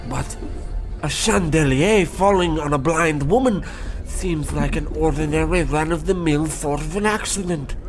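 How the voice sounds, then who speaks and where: An actor playing an earnest, easily shocked professor sidekick with proper, old-fashioned exclamations in a comic radio play. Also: male voice, on the high side, unhurried, over music, lightly acted. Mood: aghast. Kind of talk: old-time radio comedy acting.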